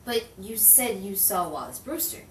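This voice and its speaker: A woman's voice: medium pitch, sing-song, normal speed, natural voice. Woman acting a persistent noir reporter, roomy distant sound. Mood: probing. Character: persistent, persuasive female newspaper reporter in a noir story. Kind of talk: improvised noir radio-play acting. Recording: roomy, distant mic with faint noise.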